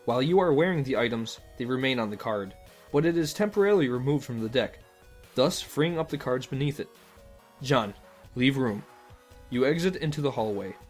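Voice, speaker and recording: A male narrator reads a scripted second-person story with a measured, dryly formal delivery. His voice sits at a middle pitch, with soft music playing behind it.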